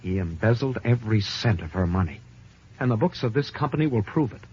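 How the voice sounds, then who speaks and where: A man's voice: on the low side, expressive, brisk, a little mellow, slightly rough. A man playing an earnest, anguished young accountant in an old radio detective drama, dramatic and insistent. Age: young adult. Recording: dull, muffled old radio recording.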